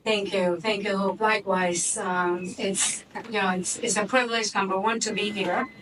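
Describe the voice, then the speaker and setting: A woman's voice: medium pitch, steady tone, moderate pace. Guest speaker: woman in her 60s with a Cuban accent, chatty and unpolished, heard from afar over room noise. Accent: Cuban Spanish. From Cuban-born, raised in Miami. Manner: conversational, frequent restarts and fillers. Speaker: board member and investor, guest. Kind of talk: fireside chat on stage. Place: office event space, live audience. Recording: distant mic with background noise.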